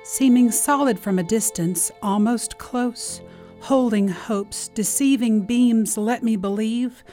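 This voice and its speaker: Woman poet for a lyrical reading: unhurried, soft-toned, with gentle violin music underneath. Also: medium pitch. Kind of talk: reading own poem.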